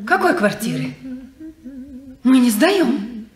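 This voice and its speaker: Sarcastic young daughter, high animated voice, roomy vintage studio. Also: female voice, slow pace. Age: young adult. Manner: ironic, teasing. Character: sharp-tongued mocking young daughter. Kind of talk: 1950s radio drama acting. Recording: echoey old radio studio recording.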